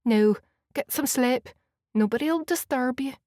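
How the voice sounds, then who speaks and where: A woman's voice: sits high, darkish tone, no echo, studio quality, voice pushed up. Woman doing a fussing Scottish housekeeper. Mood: doting. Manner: chatty, doting, brisk. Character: kindly middle-aged Scottish housekeeper woman, fussing and motherly. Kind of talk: audiobook character voice.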